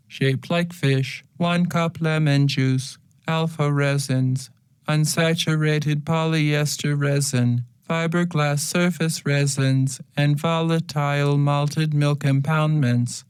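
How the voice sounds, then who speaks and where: A woman voicing an emotionless robotic machine in a video game, reciting a list in a matter-of-fact monotone. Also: low voice, smooth, no room sound.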